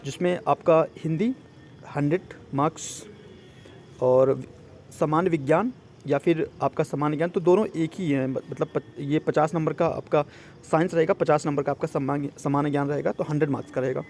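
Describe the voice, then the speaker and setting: High-pitched man explaining, some noise. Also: steady tone, a little fast, darkish tone. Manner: mixes in English words, often checks understanding. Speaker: exam coaching presenter. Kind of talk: informal explainer of a job notice.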